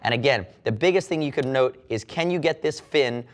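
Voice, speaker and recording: Fast-talking man presenting a product review. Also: mid-range voice. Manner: explanatory, conversational, repeats key points for emphasis. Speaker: product reviewer and presenter for a motorcycle gear retailer. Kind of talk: unscripted product review with sales pitch.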